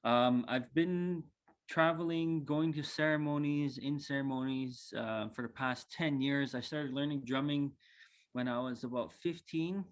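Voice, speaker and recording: Humble, easygoing man in his twenties, presenting on a video call; mid-pitched, unhurried, full of fillers. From northern Saskatchewan, Canada. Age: 20s. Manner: easygoing and humble, with frequent ums and uhs. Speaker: cultural coordinator giving a teaching talk. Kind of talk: casual spoken presentation.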